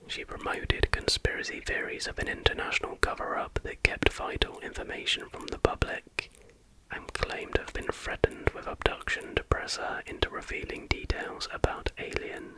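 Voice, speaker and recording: A man reading aloud in a soft, breathy, unvoiced whisper as an informal ASMR host. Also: normal speed, poor audio.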